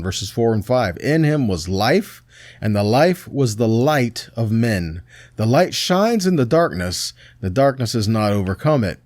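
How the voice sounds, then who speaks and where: A man's voice: mid-range voice, darkish tone, dry recording, studio quality. A man teaching scripture in a sermon, reading verses aloud and checking in with short tag questions. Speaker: preacher teaching a Bible study.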